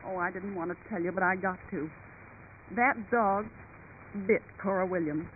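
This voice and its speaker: A woman's voice: on the low side, normal speed, close to an everyday voice. An actress playing an anxious, protective country mother with a folksy, pleading delivery in 1930s radio drama. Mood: worried, firm. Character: anxious, protective rural mother of a young boy. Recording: tinny, noisy 1930s radio recording.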